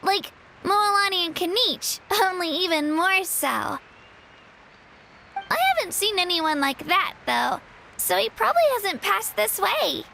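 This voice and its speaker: A woman's voice: extremely high pitch, lively intonation, a little slow, gravelly, pushed and bright, slight background noise, heavily acted. An actress voicing a modest, upbeat girl competitor in a video game, speaking earnestly.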